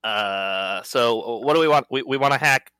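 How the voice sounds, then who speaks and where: A man chatting as a player in a tabletop roleplaying game, halting and full of restarts. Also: medium pitch, sharp tone, smooth, no echo. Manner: hesitant, lots of fillers and restarts.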